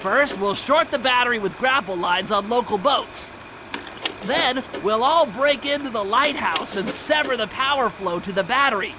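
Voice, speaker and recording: Very high-pitched nerdy cartoon brainiac. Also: male voice, animated, normal speed, noisy background. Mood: earnest, instructive. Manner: precise, technical, explanatory. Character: brainy, earnest, nerdy male gadget expert cartoon animal. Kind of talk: video game cutscene voice acting. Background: background music.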